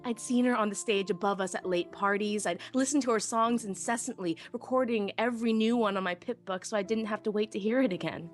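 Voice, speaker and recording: Female actor as young pony technician narrating an audiobook, self-deprecating and expressive, mid-range, light music underneath. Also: medium pitch, a little fast, hardly any pauses, natural voice. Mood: smitten, wistful. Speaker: first-person narrator. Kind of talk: dramatic live audiobook reading.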